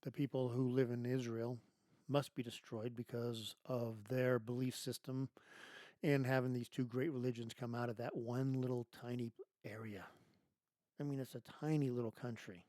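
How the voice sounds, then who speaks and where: A man hosting his own show, delivering an emphatic political monologue full of rhetorical questions and restarts, his voice going hoarse. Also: mid-range voice, flat delivery, normal speed, a little mellow, no echo, clean studio sound. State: losing his voice. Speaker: podcast host.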